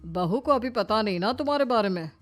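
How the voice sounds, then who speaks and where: A woman's voice: mid-range voice, lively intonation, brisk, faint music behind, close to an everyday voice. A woman voicing an older father-in-law with a mild, easygoing, conciliatory manner.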